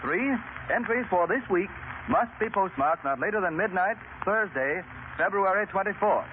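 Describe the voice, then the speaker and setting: A thin vintage radio recording with faint noise presents an American male announcer. He reads briskly and formally, with lively, varied pitch. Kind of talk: scripted 1930s radio announcing. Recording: narrow-band 1930s radio, faint noise.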